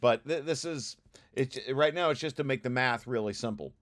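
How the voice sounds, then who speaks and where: A man giving an informal technical walkthrough as a presenter, with halting, hesitant explanations and repeated words. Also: medium pitch, fairly bright tone. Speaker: presenter reviewing own technical paper.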